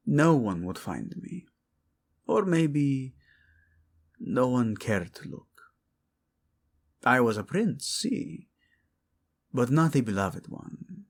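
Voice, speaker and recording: Romantic audio drama lead: male actor as a devoted king, intimate and teasing, husky with widely swinging intonation. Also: mid-range voice, heavily acted. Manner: soft, intimate, teasing, halting. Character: devoted young Spanish-speaking king, hot-tempered yet playfully tender. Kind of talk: romantic audio roleplay addressed to the listener.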